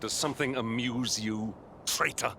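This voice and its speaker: An actor playing a grave, loyal knight in a video game, speaking formally and earnestly. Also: male voice, medium pitch, fairly even intonation, creaky, heavy background noise, close to an everyday voice. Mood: anguished, accusing. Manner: formal, earnest, measured speech. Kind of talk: dramatic video game voice acting. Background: orchestral game music.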